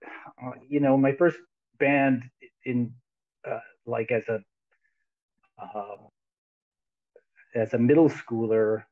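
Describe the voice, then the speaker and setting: A man's voice: mid-range voice, steady tone, slow pace, pauses often. Sixty-year-old man over a tinny line. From United States. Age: 60s. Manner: rambling, laughs often, many restarts. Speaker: guest musician. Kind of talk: casual interview over video call. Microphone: headphones on a video call.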